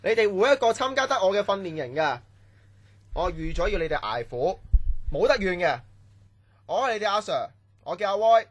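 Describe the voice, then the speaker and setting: A man's voice: sits high, big pitch swings, moderate pace, pauses often, no room sound. A man acting a strict, domineering training-camp instructor in a Cantonese radio drama, barking orders and scolding. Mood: stern, bossy.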